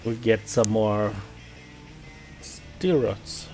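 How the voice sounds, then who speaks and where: A man from Germany with a German accent, chatting casually while playing a game, often repeating words and pausing with fillers. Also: on the low side, darkish tone, faint music behind. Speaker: gaming streamer. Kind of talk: casual gameplay chat.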